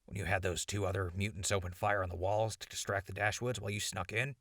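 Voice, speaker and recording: An actor playing a sardonic, laid-back ghoul survivor in an audio drama, raspy and gravelly, with a casual, teasing way of talking. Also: male voice, low voice, steady tone, quick pace, a bit bright, no echo, studio quality. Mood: wry nostalgia. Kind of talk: post-apocalyptic audio drama acting.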